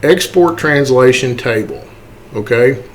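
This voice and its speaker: Faint ambient noise sits behind a man's mid-pitched voice. He talks a viewer through software in a casual way, one step at a time. He often stops to check in, like an informal presenter recording his screen. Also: a little slow. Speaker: tutorial presenter. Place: indoors, voice-over for a screen recording.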